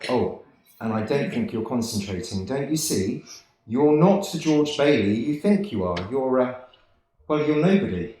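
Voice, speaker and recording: A man in a radio play playing a gentle, naive, hesitant elderly apprentice angel. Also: medium pitch, animated, moderate pace, natural voice. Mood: excited. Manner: hesitant, stammering, earnest. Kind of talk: 1940s radio play acting. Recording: very echoey room, distant microphone.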